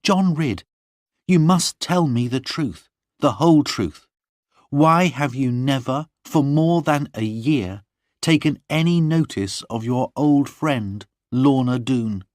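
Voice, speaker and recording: Male reader voicing a lovestruck young noblewoman, high and heartfelt, dry close sound. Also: animated, slow pace, darkish tone, no room sound. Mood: pleading. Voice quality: raised, emotional delivery. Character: young noblewoman, tender, passionate, pleading.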